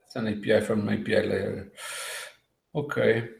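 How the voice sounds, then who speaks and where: A man co-hosting a casual tech show, talking in a halting way with many fillers. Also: fairly low pitch, flat delivery, vocal fry. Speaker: co-host. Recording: roomy echo.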